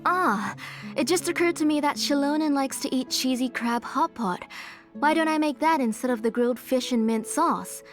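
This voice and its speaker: A woman's voice: high voice, moderate pace, soft background music, close to an everyday voice. A woman voicing an older, doting mother in a video game, with a fussing, motherly manner. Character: grieving, doting older mother, retired forger.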